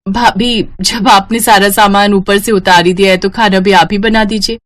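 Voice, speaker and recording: A woman's voice: medium pitch, steady tone, normal speed, a bit bright, close to an everyday voice. A woman voicing a sulky, mocking young sister-in-law in a family storybook tale. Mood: sly, mocking. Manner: complaining, mocking.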